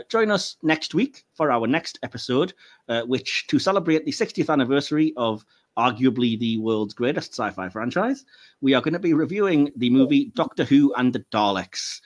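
A man with a British accent hosting a podcast in casual, chatty, rambling talk. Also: mid-range voice. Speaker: podcast host.

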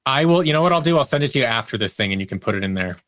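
A man's voice: mid-range voice, expressive, rapid-fire, fairly bright tone, no room sound. A man speaking as an interview guest, candidly telling personal stories in a conversational way and acting out quotes as he goes.

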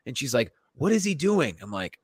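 A man's voice: mid-range voice, normal speed, breathy edge, no echo, studio quality. A man who co-hosts a comedy podcast, telling anecdotes casually and acting out what people say. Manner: animated, acts out quotes.

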